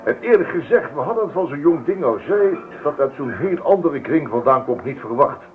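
Proud middle-aged patriarch played by a man, pompous speechmaker, very varied pitch, radio drama. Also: expressive, moderate pace, close to an everyday voice. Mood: gracious, condescending. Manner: pompous, speechifying, long formal sentences. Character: formal, proud, easily affronted patriarch. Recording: phone-like old radio recording.

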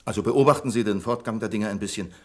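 An actor playing a suspicious, commanding bank boss in a 1970s radio play, speaking with emphatic authority and a rough voice. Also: male voice, fairly low pitch, fast, lightly acted. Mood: wary, commanding.

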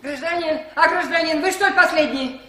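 A woman's voice: very high voice, normal speed, noisy background. An actress playing a fussy, anxious middle-aged village woman with folksy chatter in a radio play. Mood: fretful chatter. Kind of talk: 1960s Soviet radio play acting.

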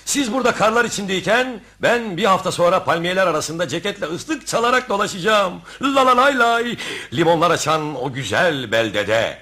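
A jovial but stubborn middle-aged clerk in radio theatre. His voice is bright and rather high, with animated swings as he exclaims and repeats himself. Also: normal speed, heavily acted. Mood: elated. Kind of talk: radio theatre comedy acting.

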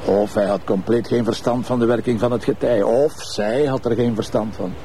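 A male actor as a brash Flemish police commissioner with a gravelly, creaky voice. He talks colloquially, needling and bantering as in a TV crime drama. Clear location noise sits behind him. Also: mid-range voice, steady tone, normal speed, mellow, natural voice. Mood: probing, wry. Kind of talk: naturalistic TV crime drama acting.

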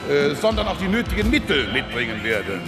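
Radio play pompous, jovial businessman president, higher-set creaky voice, halting speechmaker. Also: sing-song. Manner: pompous, stumbling speechmaking with frequent hesitations. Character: jovial, self-important Cologne businessman elected club president. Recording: echoing hall, loud music and crowd behind.

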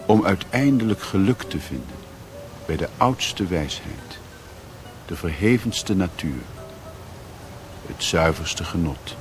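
An actor as the reflective narrator of a sea tale, reading literary prose in a radio play. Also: male voice, low-pitched. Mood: yearning. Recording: faintly noisy old radio recording.

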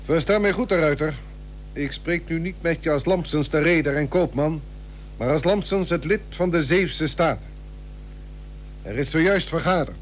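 An actor playing a grave, persuasive seventeenth-century shipowner and statesman in a historical radio play, speaking measured, formal archaic Dutch. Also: fairly high pitch, natural voice. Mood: grave, indignant. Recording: old radio recording with hum and hiss.

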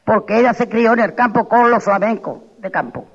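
Woman in her sixties, high voice, insistent talker, dull reverberant archival radio sound. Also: fairly quick. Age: 60s. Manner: insistent, repeats herself, animated storytelling. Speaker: flamenco singer, interviewee.

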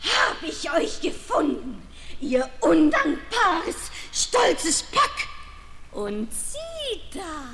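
A woman playing a spiteful, haughty wicked fairy in an audio fairy tale, with a scornful, cackling, drawn-out delivery. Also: extremely high pitch, huge pitch swings, a bit bright, exaggerated voice. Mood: scornful, menacing. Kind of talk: theatrical fairy tale audio play acting. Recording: reverberant hall on 1970s vinyl, faint music.

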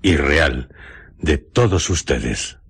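A man with a Castilian Spanish accent, narrating a literary radio programme in a solemn, measured storyteller's manner. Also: a bass voice, animated, husky. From Spain. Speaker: host and narrator of a radio drama series.

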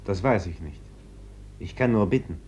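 An actor playing a gentle, troubled pacifist priest in a radio play, speaking in an earnest, measured, conciliatory way. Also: male voice, low voice, lively intonation, husky, exaggerated voice. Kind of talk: German radio play acting. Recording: dull, noisy old radio recording.

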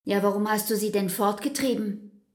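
An actress playing a weary, pleading young woman in love in a German radio drama, intimate and breathy, slipping into whispers. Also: medium pitch, fairly even intonation, normal speed, close to an everyday voice. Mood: urgent, reproachful.

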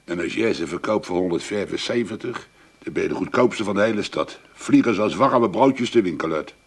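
An actor with an Amsterdam accent playing a pushy street con man in a radio play, smooth-talking and brusque. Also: on the low side, animated, brisk. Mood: persuasive.

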